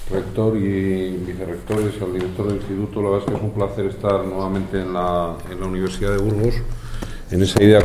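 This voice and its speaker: A man, a culture official, speaks formally in a university hall. His voice is very deep and dark, with a flat delivery. He strings together long official phrases and often restarts. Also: slight background noise. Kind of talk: formal speech at an agreement signing.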